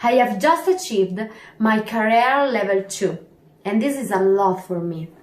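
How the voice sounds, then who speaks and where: A woman in her early twenties with an Italian accent, giving an earnest testimonial in slightly halting English. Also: medium pitch, animated, roomy sound. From northern Italy. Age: early 20s. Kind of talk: testimonial video.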